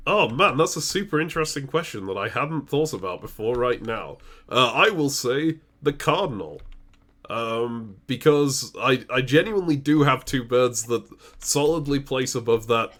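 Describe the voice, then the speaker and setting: A man from the UK with a hybrid British accent and a naturally deep voice, co-hosting a casual Q&A over a remote call, chatty and laughing between answers. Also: animated, normal speed, fairly bright tone. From United Kingdom. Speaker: co-host. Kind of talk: casual chat. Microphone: remote call recording.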